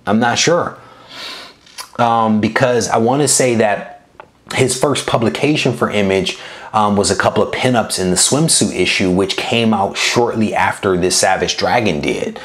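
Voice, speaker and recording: A man in his forties with a deep, low voice hosts a casual livestream. He talks in a relaxed, meandering way, full of fillers and restarts as he answers chat comments. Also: normal speed. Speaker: comic collecting channel host.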